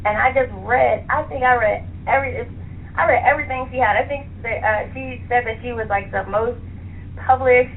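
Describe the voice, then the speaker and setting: A woman with a fairly high voice talks as an interview guest. She is chatty and enthusiastic, speaking conversationally. The sound is thin like a phone call, with noticeable noise on the line. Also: normal speed. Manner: chatty, enthusiastic, laughs easily. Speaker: author being interviewed. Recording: tinny phone line with clear hiss.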